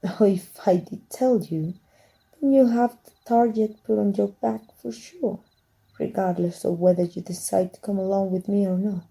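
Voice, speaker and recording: A secretive, cunning teacher of dark magic, played by a woman in an ASMR roleplay, soft and measured and slipping into whispers. Her voice is dark and husky, with a gravelly fry at the ends of phrases. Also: mid-range voice, fairly even intonation, low-quality recording. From Mexico. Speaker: voice actor.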